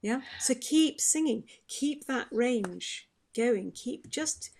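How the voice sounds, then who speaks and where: Chatty woman with a gravelly edge. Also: on the high side, expressive, slow. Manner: chatty, explanatory, warm advice-giving. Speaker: vocal health expert answering questions. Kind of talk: online forum Q&A.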